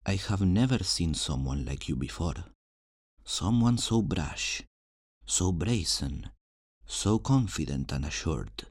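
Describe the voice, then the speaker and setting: Male actor as charming rogue thief, low-pitched, slow delivery, smug, teasing, flirtatious, dry sound, fantasy ASMR roleplay. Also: a little mellow, dry recording, full character voice. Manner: teasing, theatrical, smugly self-assured, uses endearments.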